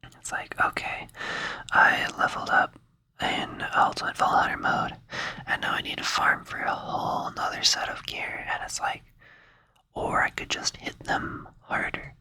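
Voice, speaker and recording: A young man whispers close and soft, his breathy voice very bright and crisp. He rambles playfully from one thing to the next and fills his talk with "like." It is a loose, chatty ASMR session. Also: measured pace. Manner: rambling, playful, says "like" a lot. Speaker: ASMR creator.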